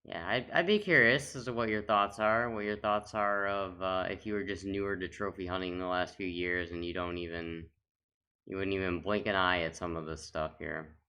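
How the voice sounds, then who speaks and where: A man's voice: deep voice, fairly even intonation, normal speed, pushed and bright, smooth. A man giving casual, off-the-cuff commentary as a gaming video host, talking in a rambling, reminiscing way.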